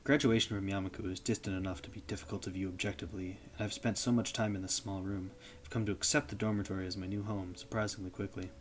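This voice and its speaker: Young male streamer, low voice, steady story read with small slips. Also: fast, few pauses. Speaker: streamer reading a visual novel. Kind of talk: reading a visual novel aloud.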